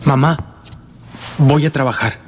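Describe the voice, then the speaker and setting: A man's voice: fairly high pitch, fairly even intonation, unhurried, a little hiss or hum, close to an everyday voice. An actor playing a sickly, selfish young man in a radio drama, with a plaintive, languid manner. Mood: determined.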